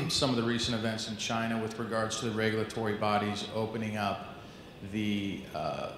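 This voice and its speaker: A man in the audience asking a question from the floor, in formal, precise phrasing. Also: a bit bright. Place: conference hall. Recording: very echoey hall, distant rumble.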